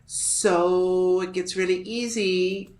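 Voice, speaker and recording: A woman's voice: on the low side, slow, echoey room. A woman in her thirties, a podcast co-host telling a self-mocking story in casual chat. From United States. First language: English. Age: 30s. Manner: animated, self-mocking storytelling with frequent laughter.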